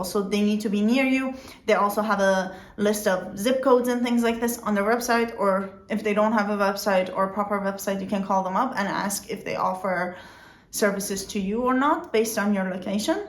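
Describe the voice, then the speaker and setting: A woman's voice: medium pitch, reverberant. A young woman, not a native English speaker, explaining things casually in a vlog with fillers and self-corrections. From outside the Netherlands. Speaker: vlogger.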